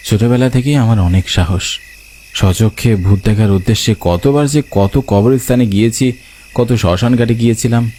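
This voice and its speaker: A man's voice: mid-range voice, dark tone, slight background noise. A man telling a horror story in dramatic first-person narration.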